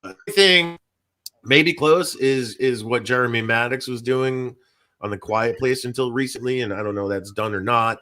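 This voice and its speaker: A man's voice: mid-range voice, moderate pace, bright, forceful tone. A man hosting a casual podcast, talking in a rambling way that trails off mid-thought. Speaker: podcast host.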